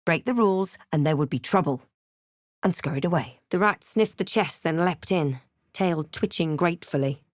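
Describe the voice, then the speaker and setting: A woman playing a snarky young female adventurer in a video game, with a measured, dry and dramatic scripted delivery. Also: lowish voice, dry recording, lightly acted. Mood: solemn narrating. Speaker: video game player-character voice actor. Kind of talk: scripted game voice lines, narration and spell incantations.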